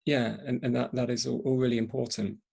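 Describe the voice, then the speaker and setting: A man's voice: medium pitch, level tone, brisk, gravelly, a little mellow, husky. A man hosting a panel discussion in spontaneous talk, hesitantly repeating and rephrasing as he goes. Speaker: event host and moderator.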